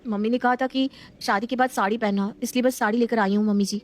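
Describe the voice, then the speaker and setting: A woman's voice: fairly high pitch, steady tone, fast talker, lightly acted. A woman playing a polite, eager-to-please young new daughter-in-law in a family story. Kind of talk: scripted family story acting.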